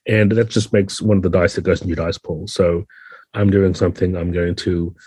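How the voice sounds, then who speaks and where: A man with a low, mellow voice talks as an interview guest, explaining things in a thoughtful, conversational way. His tone has a husky grain, and the sound is close and dry. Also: fairly even intonation, normal speed, dry recording. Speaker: podcast guest, game designer.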